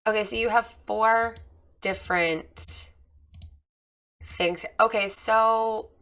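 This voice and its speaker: Slightly hoarse woman giving a chatty critique. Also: on the high side, steady tone, takes it slowly, fairly bright tone. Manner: candid, chatty, many fillers. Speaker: photographer giving a website review. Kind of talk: casual screen-share critique.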